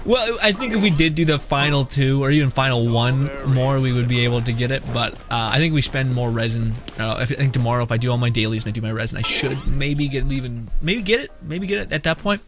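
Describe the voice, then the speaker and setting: A man's voice: medium pitch, fast talker, hardly any pauses, over music. A man giving casual gameplay commentary as a streamer, informal and chuckling. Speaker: video game streamer.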